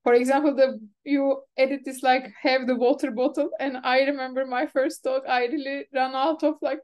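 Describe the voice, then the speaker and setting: A woman whose first language is Turkish, hosting a webinar and chatting quickly with many fillers over a video call. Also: high voice, level tone, a little mellow. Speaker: webinar organizer. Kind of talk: casual webinar chat.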